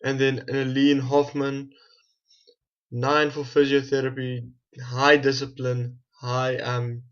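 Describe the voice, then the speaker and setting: Low, flat-toned South African man, muffled audio. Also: takes it slowly, clean tone. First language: Afrikaans. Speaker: gaming video host. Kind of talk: casual gameplay commentary. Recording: dull, muffled lo-fi home recording.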